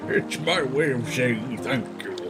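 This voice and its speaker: A man's voice: mid-range voice, animated, slow, over loud music. A man voicing a quaint, gracious villager from a video game, speaking formally and courteously. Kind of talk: video game dialogue read aloud in character.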